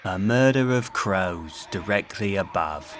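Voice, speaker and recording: A man's voice: low voice, lively intonation, takes it slowly, background noise. A man narrating a scripted audio drama in a theatrical, florid storytelling manner. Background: music.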